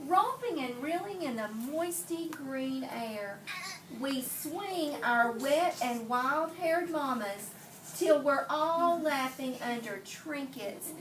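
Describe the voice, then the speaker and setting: A woman teacher reading a picture book aloud to young children in an animated storytelling manner, prompting them with questions and repeating their answers. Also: sits high, slow pace. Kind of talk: expressive read-aloud with questions to pupils. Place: indoor classroom. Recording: roomy, noisy classroom, distant microphone.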